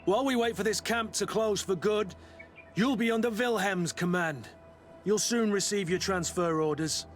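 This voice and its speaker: Man acting a weary, higher-voiced veteran soldier. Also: normal speed, soft background music, natural voice. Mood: resolute, weary. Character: weary, loyal veteran mercenary soldier, plain-spoken and resolute. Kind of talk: video game dialogue acting.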